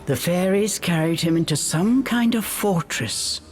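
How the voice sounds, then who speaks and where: A woman playing a storybook narrator, telling a fairy tale in a video game in a measured, dramatic way. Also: on the low side, animated. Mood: suspenseful. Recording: music behind the narration.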